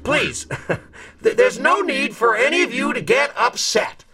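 Horror comedy audio drama: smooth-talking evil spirit, high voice, swooping delivery, placating and theatrical. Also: female voice, big pitch swings, measured pace, bright, forceful tone, heavily acted. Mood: coaxing. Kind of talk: comic horror radio play acting.

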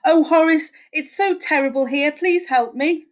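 A woman's voice: sits high, fairly even intonation, brisk, voice pushed up, lightly acted. A woman voicing the tearful ghost of a dead wife, wailing and imploring as in a spooky story.

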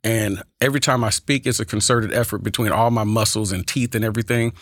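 A man's voice: lowish voice, fairly even intonation, fast, a bit bright, no echo, studio quality. A man with an Oakland accent and a slight stutter and lisp, telling stories earnestly as a speech and debate coach being interviewed. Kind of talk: conversational interview. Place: small room.

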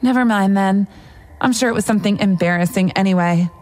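A woman's voice: mid-range voice, a little fast, darkish tone, close to an everyday voice. A woman voicing a haughty, snobbish teenage mean girl in an audiobook story, with a needling manner. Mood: dismissive.

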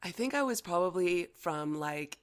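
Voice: mid-range voice, expressive, moderate pace, crowd noise. An American-born speaker whose first language was Chinese, chatting casually with many fillers and easy laughter. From United States. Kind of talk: casual conversation.

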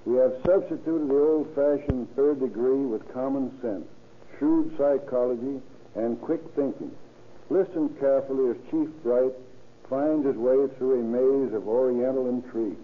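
A man's voice: mid-range voice, moderate pace. Formal male official on tinny old radio. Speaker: county sheriff, guest speaker. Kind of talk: scripted formal radio address. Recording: tinny, faintly noisy 1930s radio.

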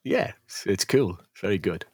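A man hosting a podcast chat, relaxed and hesitant with plenty of fillers. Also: low-pitched, normal speed, slightly rough. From England. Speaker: podcast host. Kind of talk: casual chat. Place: at home near some furniture.

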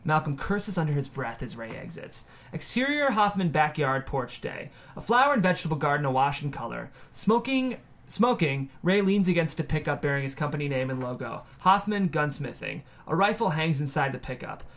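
A man's voice: fairly high pitch, very animated, moderate pace, fairly bright tone. A man narrating scene headings and stage directions from a script at a table read.

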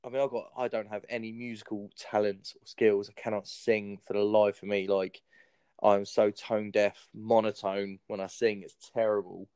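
A man's voice: on the low side, little pitch movement, moderate pace, a little mellow, clean tone, no room sound. A man hosting a podcast, chatting in an easygoing way, telling anecdotes and laughing along. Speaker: podcast host. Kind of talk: casual chat.

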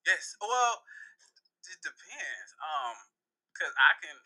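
A man's voice: on the high side, big pitch swings, takes it slowly, pushed and bright, low-quality recording. A Haitian-American man, a spiritual practitioner, chatting casually and animatedly in an interview, swearing freely. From United States.

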